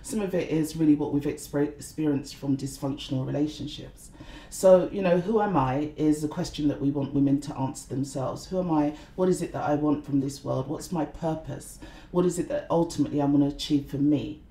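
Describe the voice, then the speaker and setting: A woman's voice: low voice, a little fast, warm tone, echoey room, poor audio. A middle-aged woman, speaking earnestly as an interview guest and often saying "you know". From London, England. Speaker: guest, blogger and conference organiser. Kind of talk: reflective interview talk.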